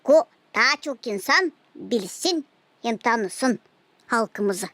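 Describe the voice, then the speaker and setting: A woman voicing an earnest, curious young girl in an animated cartoon. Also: on the high side, takes it slowly, no room sound, heavily acted.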